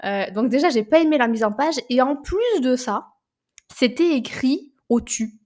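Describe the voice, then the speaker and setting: A woman, co-hosting a casual book podcast, animated and often repeating words as she searches for them. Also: sits high, normal speed. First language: French. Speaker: podcast co-host.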